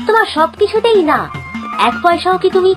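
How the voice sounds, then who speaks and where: High, whiny little boy. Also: expressive, a little fast, over music. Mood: sulky. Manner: wheedling, sulky. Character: whiny, picky, pleading young boy. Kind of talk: Bengali children's cartoon acting.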